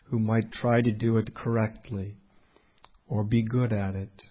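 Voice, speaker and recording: A man leading a guided meditation as a teacher, speaking unhurriedly in short phrases with long pauses. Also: fairly low pitch, flat delivery, dull audio.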